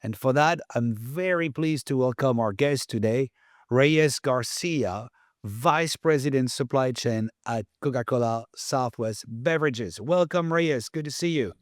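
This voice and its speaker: A man's voice: mid-range voice, unhurried, no echo, studio quality. A man hosting a podcast interview, chatty and prone to stumbling restarts as he asks questions and tells anecdotes. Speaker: podcast host.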